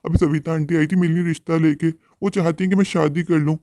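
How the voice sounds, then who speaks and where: A man playing a protective young widowed father in a Hindi cartoon, earnest, respectful and gentle in manner. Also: fairly high pitch, steady tone, brisk, dry recording, clean studio sound, natural voice. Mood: worried.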